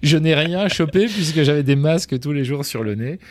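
A man's voice: a little high, normal speed. A man, a company founder and chief executive, talking frankly and casually as an interview guest, with lots of fillers and restarts. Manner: frank and colloquial, lively, with many fillers and restarts.

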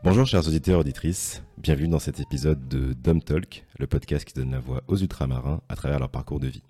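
Very deep-voiced quick young man. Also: dark tone, faint music behind. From Martinique. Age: young adult. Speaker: podcast host. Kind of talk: podcast interview.

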